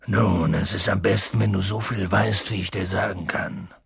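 A reader voicing a huge, gruff half-giant man who blusters loudly but turns hushed and worried. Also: deep voice, fairly even intonation, normal speed, no room sound, studio quality, voice pushed down, heavily acted. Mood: low worried. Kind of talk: audiobook reading.

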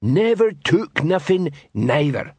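A man voicing a hulking, suspicious troll in an audiobook, sullen and slow-witted, with an ungrammatical growl. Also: on the high side, sing-song, a little mellow, slightly rough, no room sound, voice pushed up, heavily acted. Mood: sullen, defensive. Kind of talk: audiobook character voice.

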